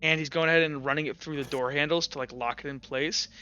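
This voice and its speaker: A man running a tabletop game, improvising narration and chatting casually in a halting way, often repeating words. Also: on the high side, steady tone, pushed and bright, clean tone, muffled recording. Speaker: game master.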